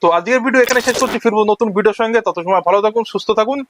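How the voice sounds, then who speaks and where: A man's voice: high voice, very fast, a bit bright, a little hiss or hum. A man narrating an instructional screen-recording tutorial, explaining each step in turn as a voice-over. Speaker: tech tutorial host.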